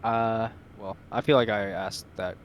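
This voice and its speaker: A man's voice: on the low side, fairly even intonation, measured pace. A man chatting casually as a contestant in a podcast quiz among friends. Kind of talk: casual banter. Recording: clear background noise.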